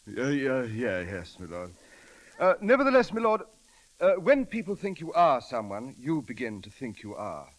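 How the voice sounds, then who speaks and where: A crackly old radio comedy recording of an English manservant. He is formal and earnest, stammers politely, and his pitch moves up and down in a lively way. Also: moderate pace. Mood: flustered, determined. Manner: formal, deferential, hesitant stammering. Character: proper, deferential English manservant, earnest and flustered. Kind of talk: 1930s-40s radio comedy acting.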